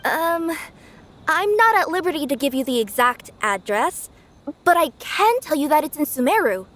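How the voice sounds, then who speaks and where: High, lively young courier girl. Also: normal speed, a little hiss or hum. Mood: earnest, hesitant. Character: young cat yokai delivery girl, earnest and easily startled. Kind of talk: animated video game voice acting.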